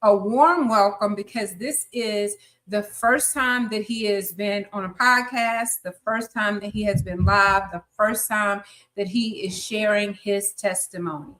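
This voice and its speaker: A woman's voice: medium pitch, fairly even intonation, measured pace, fairly bright tone. A woman in her fifties hosting a live podcast interview, chatty and encouraging. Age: 50s. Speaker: podcast host.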